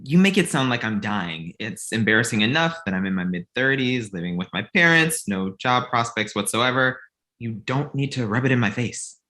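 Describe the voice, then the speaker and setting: A man acts out a naive son in his thirties, earnest about Christmas and a little whiny. The voice is bright and forceful, and it swings up and down freely through the comedy script. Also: mid-range voice, expressive, normal speed. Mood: aggrieved, whiny. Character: naive thirty-six-year-old son, childishly devoted to Christmas and Santa. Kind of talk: comedy script table read.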